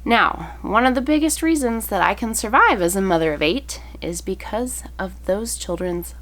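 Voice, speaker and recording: A woman's voice: medium pitch, expressive, moderate pace, a bit bright. A woman in her thirties, a mother of eight with a slight cold that makes her a little froggy, chatting casually through gift ideas with many ums. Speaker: family vlogger, mother of eight. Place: home, indoors.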